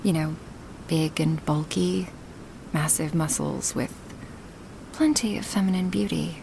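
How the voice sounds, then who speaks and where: A huge, gentle dragoness acted by a woman speaks in a lowish, creaky voice, soft and close as in an ASMR roleplay. Her wording is formal and earnest, with an affectionate, half-whispered delivery. A faint noise sits under the recording. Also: poor audio. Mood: proud, intimate. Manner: slow, intimate, breathy whispering in places, formal wording.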